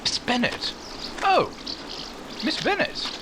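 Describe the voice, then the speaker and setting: A man playing a proud, awkward gentleman suitor in 1940s radio romance style, formal and hesitant. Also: very high-pitched, wildly swooping pitch, takes it slowly, background noise, heavily acted.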